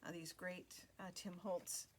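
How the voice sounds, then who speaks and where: A woman hosting a craft video, talking casually through a flip-through with many fillers and pauses. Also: on the low side, little pitch movement, slight background noise.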